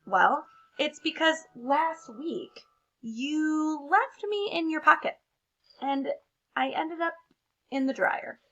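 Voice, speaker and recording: A woman's voice: high voice, animated, slow, a bit bright, dull audio, pitched above the performer's own voice, exaggerated voice. A woman voicing an exasperated, sarcastic turquoise crayon complaining in a storybook read-aloud. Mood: exasperated, wry. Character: exasperated turquoise crayon stuck to a smelly sock.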